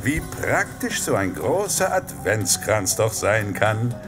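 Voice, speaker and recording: An actor playing a menacing, sadistic vigilante posing as Santa, taunting deliberately with mock friendliness in a horror radio play. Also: male voice, fairly low pitch, very animated, over music. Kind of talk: German horror audio drama acting.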